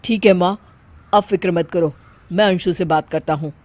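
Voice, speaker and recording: A woman's voice: lowish voice, moderate pace, darkish tone, dry recording, voice pushed down, natural voice. A woman voicing a weary, annoyed husband in a cartoon family story, subdued and stern.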